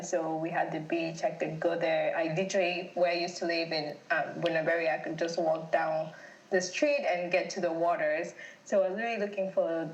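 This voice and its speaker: A young woman from Cameroon, French her first tongue, talks through a podcast interview in a relaxed, chatty way. Her voice sits a little low and she laughs easily. The sound is echoey and a bit dull, as if from a roomy space. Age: young adult. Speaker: guest. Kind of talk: casual interview chat.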